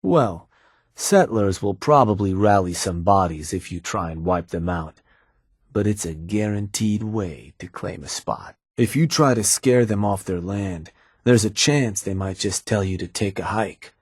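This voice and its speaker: A man's voice: on the low side, unhurried, slightly rough, dry recording. An actor playing a laid-back male raider fixer who takes orders from his boss, speaking dry and matter-of-fact in video game dialogue. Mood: businesslike. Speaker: gang fixer taking orders.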